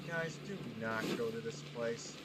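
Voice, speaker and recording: A man's voice: medium pitch, a little slow, very noisy. A man giving casual gameplay commentary, repeating his phrases for emphasis. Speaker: gamer commentator.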